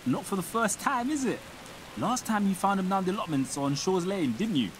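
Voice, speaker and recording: An actor playing a helpful, laid-back villager in a radio soap, casual and colloquial. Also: male voice, sits high, lively intonation, moderate pace, a little hiss or hum. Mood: friendly, surprised. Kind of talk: naturalistic radio soap acting.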